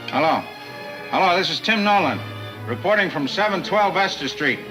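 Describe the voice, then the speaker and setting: High-pitched detective, clipped, factual, brisk, 1940s film serial, music underneath. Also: male voice, rough recording. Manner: clipped, matter-of-fact. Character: brisk, businesslike male police detective reporting in.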